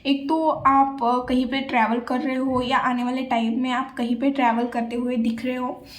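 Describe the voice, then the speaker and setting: A woman's voice: high-pitched, little pitch movement, moderate pace. A woman giving a casual tarot reading to camera, chatty and hesitant, with many fillers. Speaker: tarot reader.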